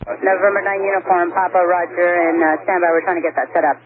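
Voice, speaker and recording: A woman's voice: fairly low pitch, fairly even intonation, fast, phone-quality sound. A woman working as an air traffic controller gives procedural instructions over aviation radio.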